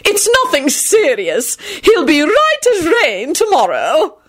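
High, imperious old noblewoman, haughty, shrill, emphatic swoops, audiobook character, voiced by a female narrator. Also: huge pitch swings, slow pace, a bit bright, heavily acted. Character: haughty, screeching aristocratic old lady.

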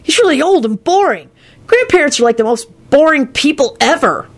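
A woman's voice: fairly high pitch, sing-song, moderate pace, pitched above the performer's own voice. A woman voicing a rude, easily irritated boy in a storybook, with blunt, slangy slacker talk. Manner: drawling slang, says dude and man constantly.

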